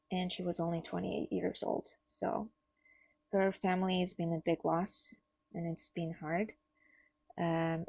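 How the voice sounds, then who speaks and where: Gravelly-voiced woman. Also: mid-range voice, a little mellow, dry recording. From Portugal. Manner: open, heartfelt, chatty. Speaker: webinar participant. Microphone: video call. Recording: dry, dull lo-fi video call audio.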